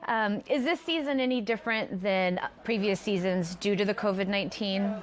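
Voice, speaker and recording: A woman's voice: medium pitch, moderate pace, rough recording. A woman hosting a local interview segment, asking questions and introducing her guest.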